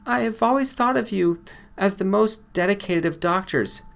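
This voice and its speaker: A male narrator plays an anxious, devoted woman in a storybook. The voice is lifted high, with hesitant, gushing admiration. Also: normal speed, creaky, fairly bright tone. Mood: adoring. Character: nervous, adoring, devoted woman.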